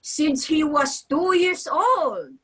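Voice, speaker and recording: A woman's voice: high-pitched, sing-song, takes it slowly, pushed and bright, heavily acted. An actress playing a nagging, guilt-tripping older Filipino mother with an accent, dramatic and scolding, in a staged comedy reading. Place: virtual live event, recorded remotely.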